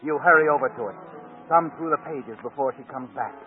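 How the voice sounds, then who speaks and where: A man's voice: fairly high pitch, brisk, close to an everyday voice. A man narrating an old-time radio suspense drama as a knowing, insistent, foreboding voice, on a tinny old recording. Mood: suspenseful. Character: knowing, ominous male narrator addressing the hero.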